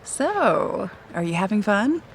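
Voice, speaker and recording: A woman's voice: mid-range voice, sing-song, slow pace, gravelly, slight background noise, full character voice. An actress playing a flippant young woman on a date, teasing and casual, in natural romcom audio drama dialogue. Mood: casual, sincere.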